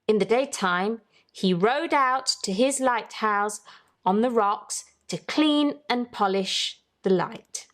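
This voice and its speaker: Female storybook reader, higher-pitched voice, very unhurried and teacherly, light music in the mix. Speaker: children's story-time host and reader. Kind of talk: read-aloud storybook narration with questions to young listeners.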